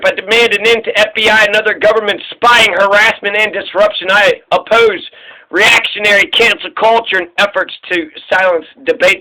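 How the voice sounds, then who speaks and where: Man, high voice, bright sharp tone, reading text aloud, occasional stumbles and repeats. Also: poor audio. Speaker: video creator reading aloud. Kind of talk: reading a candidate questionnaire aloud, with asides.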